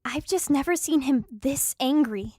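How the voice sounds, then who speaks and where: A woman plays a protective fantasy heroine with a high voice, recorded very dry. She is bold and sarcastic, speaking in a pointed, challenging way in an audio drama. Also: measured pace, mellow, dry recording. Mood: wary.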